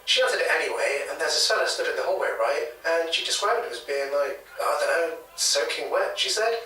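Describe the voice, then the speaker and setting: A man with a British accent playing a hesitant, gossipy local retelling a ghost story in a halting, chatty way for an audio drama. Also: on the high side, expressive, bright, forceful tone, recorded from a distance. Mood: uneasy, earnest. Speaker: interviewee, former hotel worker. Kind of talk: naturalistic audio drama interview. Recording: echoey taped interview, off mic.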